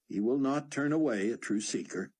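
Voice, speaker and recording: Man doing a calm, reassuring young man. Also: medium pitch, moderate pace, husky, pitched above the performer's own voice, lightly acted.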